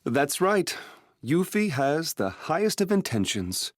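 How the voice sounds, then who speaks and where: An actor playing a shrewd, courteous elder-brother prince and statesman in an English anime-dub audio drama, measured and diplomatic. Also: fairly high pitch, sing-song, no room sound, clean studio sound. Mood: amused.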